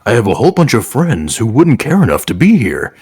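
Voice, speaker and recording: A man's voice: medium pitch, wildly swooping pitch, brisk, darkish tone, breathy edge, dry recording, studio quality, faint music behind, exaggerated voice. A man voicing a courteous golden animatronic lion with formal, polite manners in a comic fan fiction. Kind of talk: comedic fan fiction read-aloud.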